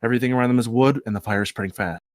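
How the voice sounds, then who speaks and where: A man's voice: medium pitch, rapid-fire, no echo, clean studio sound. A man narrating, reading screenplay action aloud at a table read. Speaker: script reader, narrator.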